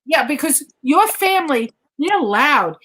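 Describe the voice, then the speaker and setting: A woman's voice: sits high, very animated, measured pace, noisy background. A woman giving a conversational live mediumship reading, insistently repeating phrases and relaying messages as quotes.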